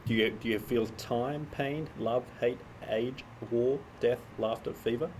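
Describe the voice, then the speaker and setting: A man's voice: mid-range voice, steady tone, unhurried, darkish tone, a little hiss or hum, rough recording. Dry, teasing young man. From Australia. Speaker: co-host. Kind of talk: casual podcast chat.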